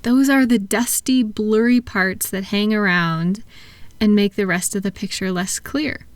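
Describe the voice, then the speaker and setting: A woman playing a kind, unhurried attendant at a calming clinic, soft-spoken and reassuring in a relaxation roleplay. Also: mid-range voice, no echo, natural voice. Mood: soothing, encouraging.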